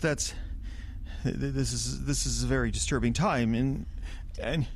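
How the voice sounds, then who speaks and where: Actor playing ineffectual anxious pastor, halting, soothing, mid-pitched, horror audio drama, noise behind. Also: male voice, natural voice. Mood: fretful, soothing. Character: gentle, flustered pastor, fretful and ineffectual.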